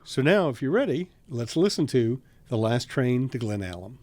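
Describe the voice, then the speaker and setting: A man's voice: mid-range voice, very animated, moderate pace, a little mellow, no room sound. A man talking casually in a studio conversation, as a writer and public broadcaster introducing a radio drama.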